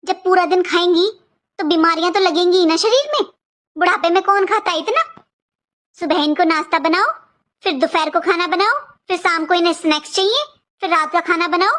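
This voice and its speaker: Woman playing a very shrill, resentful daughter-in-law. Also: fairly quick, fairly bright tone. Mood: grumbling. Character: resentful, curt young Indian daughter-in-law. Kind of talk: cartoon family skit dialogue.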